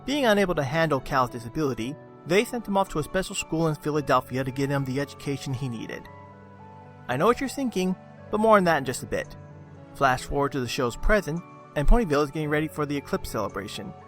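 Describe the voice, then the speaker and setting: Animated higher-voiced man narrating a review. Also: fast talker. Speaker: video reviewer. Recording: music under the voice. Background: background music.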